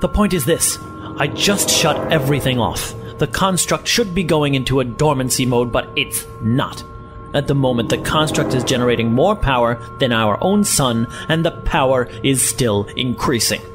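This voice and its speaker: Male actor as composed science professor, formal and explanatory, articulate, defensive, sci-fi audio drama, loud music behind. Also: medium pitch, moderate pace, close to an everyday voice. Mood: grave.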